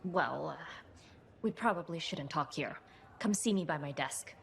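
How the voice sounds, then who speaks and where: Awkward, lowish-voiced sentinel. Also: female voice, animated, unhurried, gravelly, full character voice. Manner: hesitant, stiff, socially clumsy. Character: awkward, shy, earnest female military sentinel. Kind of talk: naturalistic comic video game acting.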